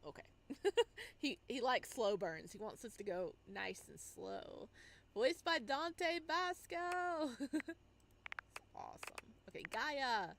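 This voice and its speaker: A woman's voice: mid-range voice, fairly bright tone. Woman reading game text aloud, noisy background. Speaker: host of a game playthrough. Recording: clear background noise under the voice.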